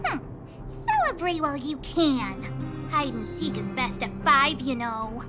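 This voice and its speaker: A bossy little girl brags and orders others about in a video game. The voice is very high and animated, with slow, dramatic emphasis. Loud music plays underneath. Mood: grudging, brisk. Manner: brash, dramatic, childish. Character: bossy, boastful little girl leading a kids' gang.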